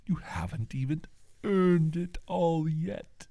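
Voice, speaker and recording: A man performs a scornful alien scientist bent on conquest, speaking in a dark, breathy half-whisper. The delivery is extremely slow and taunting, soft-spoken in ASMR roleplay style. The sound is close and dry, full of cold condescension. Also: a little high, expressive, dry recording, exaggerated voice. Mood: gloating scorn.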